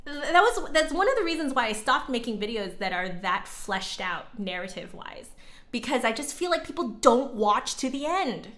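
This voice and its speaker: A woman's voice: a little high, sing-song, moderate pace, fairly bright tone. A woman livestreamer and online teacher chatting casually with viewers in a candid, informal way.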